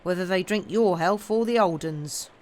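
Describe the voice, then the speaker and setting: A woman voicing a gruff, hoarse working man who talks bluntly, with a grumble. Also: on the low side, moderate pace, darkish tone, natural voice.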